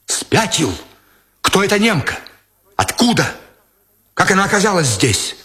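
A man reading aloud voices a young army lieutenant who asks urgent questions and gives sharp orders. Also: high-pitched, sing-song, unhurried, voice pushed up, heavily acted. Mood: alarmed. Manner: urgent, commanding, alarmed questions. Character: young male lieutenant, anxious and commanding.

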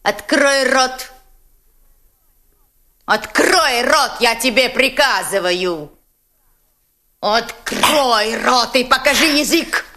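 A wheedling German interrogator, cunning and fawning. Her speech swoops high and low in a lively sing-song. She drawls slowly and sweetly, then grows sharp. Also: full character voice. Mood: interrogating. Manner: wheedling, sugary coaxing that turns to sharp questioning. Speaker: actress in an audio drama. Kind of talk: dramatic radio play acting.

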